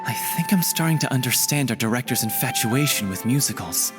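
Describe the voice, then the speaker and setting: A man's voice: mid-range voice, lively intonation, a little fast, music in the background, close to an everyday voice. Earnest young man-played game troupe performer. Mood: hopeful. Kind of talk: video game voice acting.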